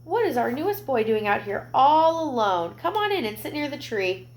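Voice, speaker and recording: A woman reading a children's storybook as a kindly lady, welcoming and gently fussing. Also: sits high, big pitch swings, normal speed, voice pushed up. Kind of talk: children's storybook read-aloud.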